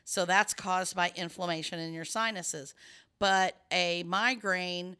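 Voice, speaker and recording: A woman's voice: on the low side, fairly even intonation, slow, pushed and bright, smooth. A middle-aged woman with a scratchy voice, hosting a casual chat and explaining at length with many restarts and fillers.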